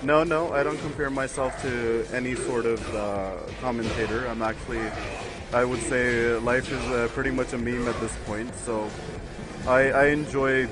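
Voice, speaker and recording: Chatty young man, laughing, game music behind. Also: mid-range voice. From United States. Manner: rambling, laughs often, many fillers. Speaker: guest commentator on a fighting game stream. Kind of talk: casual chat with stream chat.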